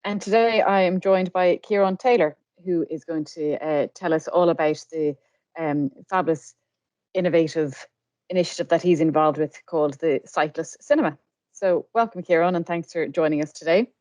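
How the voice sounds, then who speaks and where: A woman's voice: sits low, normal speed, a little mellow, dry recording. A woman hosting a podcast and putting questions to a guest in a conversational way. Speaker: podcast host, communications professional.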